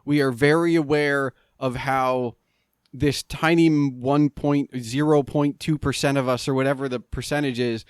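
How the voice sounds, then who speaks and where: A man hosting a podcast, talking casually in a rambling, halting way with many restarts. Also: medium pitch, fairly even intonation, smooth, no echo, clean studio sound. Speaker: podcast host. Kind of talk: casual interview conversation.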